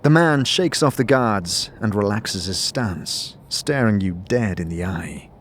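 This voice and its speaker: A man's voice: lowish voice, lively intonation, a little slow, a little hiss or hum. A man giving dramatic scripted narration for a fantasy audio drama. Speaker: narrator and lead player. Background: music.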